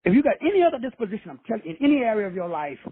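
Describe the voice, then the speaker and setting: A man's voice: high voice, sing-song, rapid-fire, husky, no room sound. A man from Texas hosting a call-in show, preaching profane motivation with swagger and slang. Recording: tinny phone line, dry.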